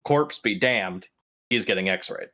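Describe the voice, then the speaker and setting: Man, game player, very low pitch, dry blunt manner, casual chat. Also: takes it slowly, pushed and bright. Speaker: player in a tabletop roleplaying game.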